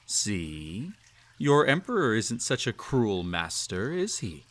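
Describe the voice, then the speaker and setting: A man's voice: lowish voice, big pitch swings, exaggerated voice. A man playing a cruel, possessive emperor in a roleplay audio, speaking with haughty, silky condescension. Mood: amused, condescending. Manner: haughty, condescending, drawn-out teasing delivery with soft chuckles. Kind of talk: roleplay audio acting, intimate second-person monologue.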